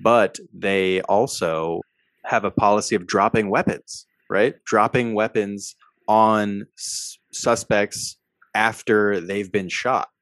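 A young adult man co-hosting a podcast, talking in an animated, emphatic way and often tagging points with "right?". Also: on the low side, takes it slowly, no room sound. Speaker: podcast co-host. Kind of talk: casual political discussion.

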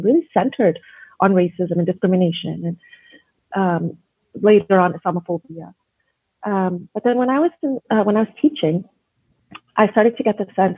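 A woman from Canada talks through her work as an educator in an earnest, thoughtful way, often restarting her sentences. Her voice is husky, and it comes over a thin, phone-like line. The sound is dry, with no room echo. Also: mid-range voice. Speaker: educator and guest. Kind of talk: conversational interview.

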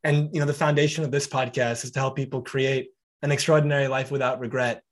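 A man's voice: mid-range voice, level tone, fast talker. A man hosting a podcast interview. He is encouraging toward his guest and closes with upbeat calls to review and subscribe. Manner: encouraging, wraps up with upbeat promo talk. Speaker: podcast host.

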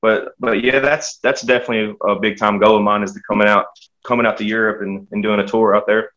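A man from the United States talks casually as a guest musician. His delivery is quick and rambling, with folksy turns of phrase and a stop-start flow. The audio is dull and lo-fi. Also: fairly low pitch, little pitch movement, a bit bright. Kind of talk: casual chat.

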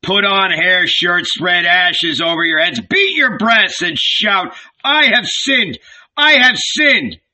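A man's voice: high voice, expressive, slow pace, bright, forceful tone, pitched above the performer's own voice, full character voice. A man voicing a fiery, bony prophet of doom in a dramatic audiobook, bellowing and fervent, drawing out his solemn warnings. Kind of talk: dramatic audiobook character reading.

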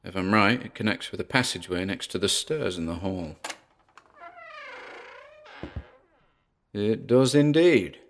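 A man playing an uneasy paranormal investigator in an audio drama, muttering hesitant observations onto tape as he explores, with a gravelly voice. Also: lowish voice, lively intonation, normal speed, noisy background. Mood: cautious. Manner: hesitant, muttering observations with sighs and trailing asides. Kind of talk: audio drama found-recording monologue.

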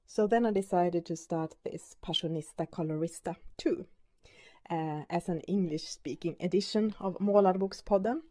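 A woman in her forties from Sweden, speaking English with a Swedish accent as a podcast host, talking in an unhurried way with hesitant fillers and repeated words. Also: on the low side, mellow. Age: 40s. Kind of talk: casual personal introduction.

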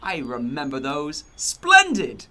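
A man acts a flustered, well-mannered hobbit gentleman in an audio drama. His voice is high and bright, swooping up and down as he fusses and exclaims with anxious politeness. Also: huge pitch swings, slow pace, heavily acted. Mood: astonished.

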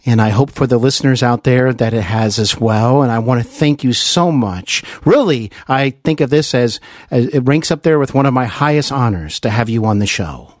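A man with a mid-pitched voice hosts a celebrity interview. He speaks reverently and effusively in halting phrases, on a very dry recording. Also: no room sound. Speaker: interview show host.

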